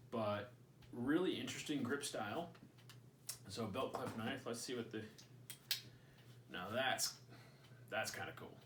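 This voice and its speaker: A man's voice: on the low side, reverberant, distant mic, noisy background. A man hosting a casual gear review at home, rambling and joking as he thinks aloud. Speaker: channel host. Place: indoors at home.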